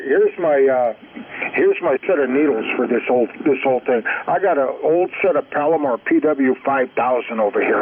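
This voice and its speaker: Radio operator guy just chatting, thin tinny signal, bit of static noise. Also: mid-range voice. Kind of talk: casual radio chat. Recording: tinny narrowband radio transmission, faint noise.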